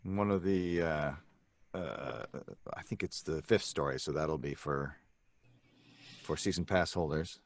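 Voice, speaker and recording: A man's voice: rumbling low, measured pace, gravelly, no echo. A man chatting casually as a podcast cast member. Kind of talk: casual chat.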